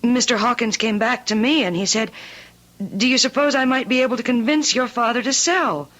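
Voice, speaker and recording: A woman's voice: fairly high pitch, a little fast, a bit bright, lo-fi sound, natural voice. An actress playing an earnest, guilt-ridden daughter of a murdered man in an old-time radio drama, speaking with careful, confiding hesitancy. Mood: recounting.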